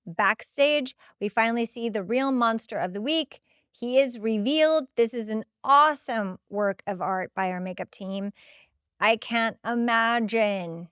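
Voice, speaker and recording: Female podcast host, middle-aged, higher-pitched and crisp, expressive recap delivery with exasperated asides, dry recording. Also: no echo. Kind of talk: episode recap reading timestamps and notes, with casual asides.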